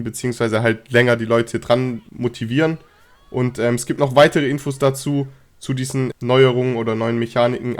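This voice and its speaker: A young man with a cold and a slightly hoarse voice, chatting casually about game news straight to his viewers. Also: medium pitch. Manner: chatty, filler-heavy, addresses viewers directly. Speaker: gaming news youtuber.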